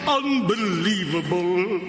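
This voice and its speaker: An actor playing a vain, drunken nobleman and wine lover in a gothic radio drama, halting and theatrical in manner. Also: sits high, lively intonation, music in the background. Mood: merry, tipsy. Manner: halting, chuckling, exclamatory. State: drunk, as acted. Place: large church hall, live before an audience. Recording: echoing cavernous hall, live recording.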